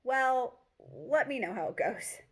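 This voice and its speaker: A woman's voice: a little high, animated, takes it slowly, husky, voice pushed up, full character voice. A woman reading the part of a skeptical male college friend who is blunt and dryly teasing in a story.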